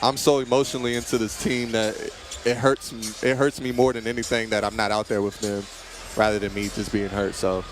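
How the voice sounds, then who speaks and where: A man's voice: fairly low pitch, flat delivery, fairly quick, faint music behind. A young man, an injured college basketball player, giving an earnest courtside interview and saying "you know" a lot. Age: young adult. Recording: loud arena crowd noise. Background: crowd and game noise.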